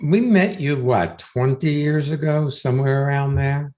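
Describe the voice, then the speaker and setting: A co-host of a talk show making low-key, brief remarks in casual chat. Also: measured pace, mellow.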